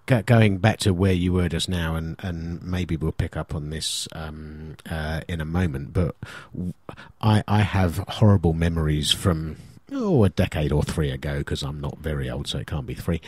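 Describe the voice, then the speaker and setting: A middle-aged British man with a deep, rough-edged voice co-hosts a radio show. The sound is close and dry. He chats casually and jokes at his own expense. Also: measured pace, hardly any pauses, darkish tone, no echo. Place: radio studio.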